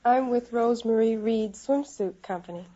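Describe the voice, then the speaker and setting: A woman's voice: fairly high pitch, normal speed, mellow. A woman of twenty giving brief, polite, matter-of-fact answers as a contestant on a 1950s radio quiz. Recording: muffled 1950s radio recording.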